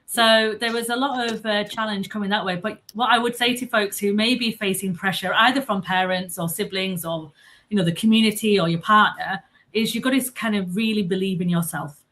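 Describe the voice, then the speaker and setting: A woman's voice: medium pitch, a little fast, a bit bright. A woman moderating a panel discussion, earnest and encouraging as she speaks to the founders.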